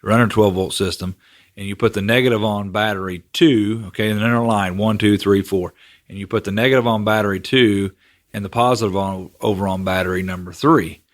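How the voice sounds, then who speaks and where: Low-voiced man explaining steadily. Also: fairly quick. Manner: steady, explanatory, filler "you know". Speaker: co-host, general manager. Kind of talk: casual explaining.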